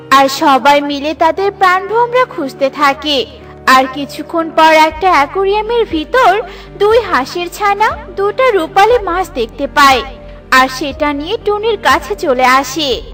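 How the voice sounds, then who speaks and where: A woman's voice: very high voice, animated, a little slow, fairly bright tone, faint music behind. A woman storyteller giving scripted narration for a children's cartoon.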